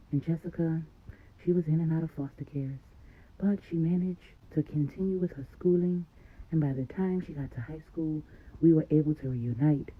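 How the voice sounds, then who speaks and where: A woman's voice: low-pitched, breathy edge, phone-quality sound. A woman voicing a distraught older mother in a told story, emphatic, pleading and emotional as she confesses.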